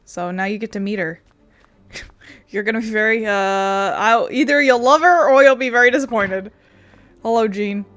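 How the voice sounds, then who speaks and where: A woman's voice: a little high, moderate pace, fairly bright tone, no echo. A woman streaming a game with casual, chatty let's-play commentary that rambles along loosely. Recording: faint game music behind voice.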